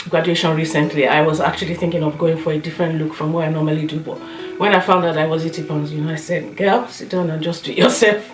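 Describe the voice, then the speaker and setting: Slightly hoarse woman in a roomy space. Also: sits low. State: slightly hoarse, recovering from a cough. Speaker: YouTube lifestyle and beauty vlogger. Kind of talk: casual opinion vlog with anecdotes. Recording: roomy echo, light music underneath.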